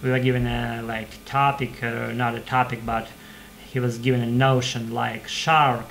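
A man's voice: medium pitch, gravelly, fairly bright tone, a little hiss or hum. A man in his thirties whose first language is not English, talking casually to his webcam in a small room at home, hesitant and full of fillers and restarts. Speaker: channel host practicing English. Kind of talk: casual rambling monologue to webcam. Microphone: webcam microphone at desk.

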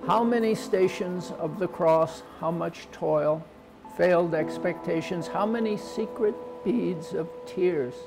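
A man in his sixties reading a poem in a solemn, deliberate, measured way. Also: a little high, creaky, rough recording. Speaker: poet. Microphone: lapel.

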